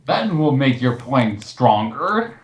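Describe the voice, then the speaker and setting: Male co-host, mid-pitched, mocking, sarcastic film commentary, roomy echoey recording. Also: big pitch swings, slow pace. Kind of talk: sarcastic reading and casual commentary on an article.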